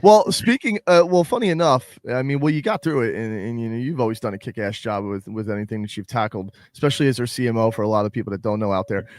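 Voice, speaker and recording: A man's voice: medium pitch, quick pace, few pauses, a little mellow, dry recording. A man co-hosting a casual podcast chat, talking in long rambling, enthusiastic runs.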